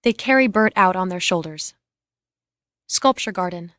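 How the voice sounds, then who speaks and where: A woman's voice: lowish voice, expressive, moderate pace, a bit bright, dry recording, clean studio sound. A woman reading out the scene directions of a screenplay as scripted narration. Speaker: reader of stage directions.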